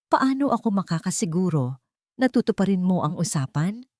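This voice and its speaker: Woman acting cocky rich man, lively swoops. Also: on the low side, moderate pace, no room sound, clean studio sound. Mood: wary. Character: arrogant, scared rich male schemer, defiant. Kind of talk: dramatic audio story dialogue.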